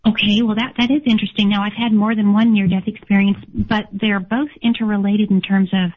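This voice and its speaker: A woman whose first language is German, hoarse today, telling her life story earnestly as an interview guest, often restarting her sentences. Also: mid-range voice, level tone, fairly quick. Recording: tinny phone-like line.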